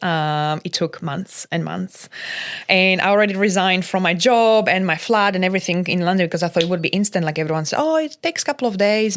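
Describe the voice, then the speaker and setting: A woman from Slovakia with a Slovak accent, a native Slovak speaker, telling her personal story casually as a podcast guest. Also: lowish voice.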